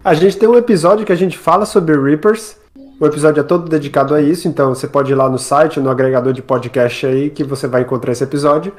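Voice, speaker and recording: Higher-pitched man chatting casually. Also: steady tone, fast, soft background music. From Salvador, Brazil. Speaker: podcast co-host. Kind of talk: casual live chat, explaining.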